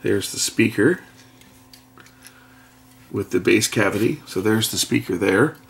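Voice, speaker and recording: A middle-aged man with a fairly low voice and plenty of gravelly creak talks casually through hands-on electronics work. He speaks haltingly, thinking aloud as he goes. There is faint noise behind him, with small clicks from the workbench. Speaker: electronics repair presenter. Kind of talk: casual hands-on teardown narration. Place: indoor workbench. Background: faint noise, clicks of handled parts.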